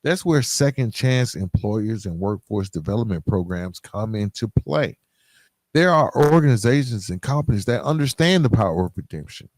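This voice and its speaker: A man's voice: lowish voice, lively intonation, moderate pace, darkish tone, dry recording. A middle-aged man hosting a podcast, talking informally and directly to his listeners in a conversational solo monologue. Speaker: podcast host.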